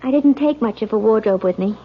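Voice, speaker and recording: A woman's voice: on the high side, fairly quick, breathy edge. A woman playing an uneasy, hesitant newlywed bride in a 1970s radio drama. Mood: polite, subdued. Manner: polite, hesitant. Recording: noisy, muffled old radio recording.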